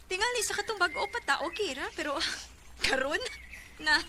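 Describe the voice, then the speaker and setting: Radio drama, dismissive, practical Cebuano wife: high voice, noisy recording. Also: female voice, animated, moderate pace. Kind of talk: Bisaya radio drama acting.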